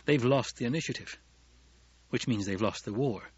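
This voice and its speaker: Man playing a calm, commanding desert leader, husky. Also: medium pitch, moderate pace, lo-fi sound, natural voice. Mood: assured. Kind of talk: audiobook character dialogue.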